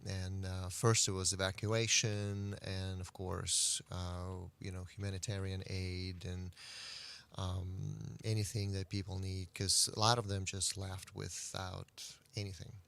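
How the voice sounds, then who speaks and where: Man, low voice, smooth tone with some fry, halting, interview guest. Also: flat delivery, a bit bright. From Central Asia. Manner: halting, searching for words. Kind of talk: interview account. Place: radio studio.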